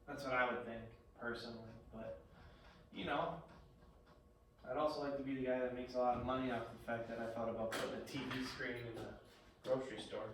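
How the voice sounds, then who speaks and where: A man's voice: medium pitch, steady tone, brisk, fairly bright tone. A man teaching a history lesson in casual, joking conversation, quizzing a student. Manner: jokey, conversational, quizzing questions. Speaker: high school history teacher. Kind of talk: casual one-on-one classroom teaching. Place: classroom. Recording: echoey room, far-off mic, background noise.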